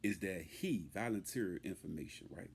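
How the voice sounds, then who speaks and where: Deep-voiced man ranting in street slang. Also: moderate pace, gravelly, breathy edge. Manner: emphatic, repetitive, indignant. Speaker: hip-hop news commentator. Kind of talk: unscripted opinion rant, street slang.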